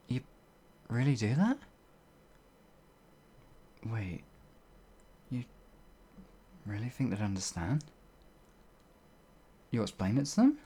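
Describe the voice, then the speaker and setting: An actor playing a bewildered, humble werewolf outlaw in an ASMR fantasy roleplay, with halting, old-fashioned speech and a husky voice. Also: mid-range voice, warm tone, heavily acted. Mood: flustered, unsure. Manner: halting, flustered, pleading, old-fashioned wording.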